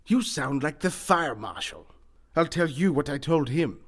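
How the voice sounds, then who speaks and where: A pompous, wealthy eccentric gentleman played by a man, pitched fairly high. He speaks formally and theatrically, like the lord of the house in a comic radio mystery. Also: lively intonation, unhurried. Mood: haughty, indignant. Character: pompous wealthy eccentric businessman and hunter, male. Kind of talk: comedic old-time radio mystery acting.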